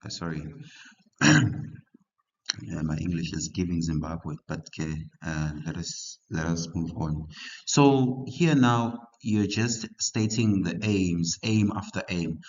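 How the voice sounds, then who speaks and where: A young man with a very low voice walks learners through a history essay in a relaxed, halting way, full of fillers and restarts. The recording is muffled and lo-fi. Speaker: history tutor. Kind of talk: casual study lesson for learners.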